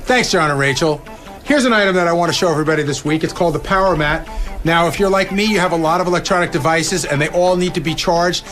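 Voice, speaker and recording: Higher-pitched man demonstrating gadgets. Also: quick pace, a bit bright. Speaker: presenter of gadget segment. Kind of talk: casual product demonstration. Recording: faint music under the voice.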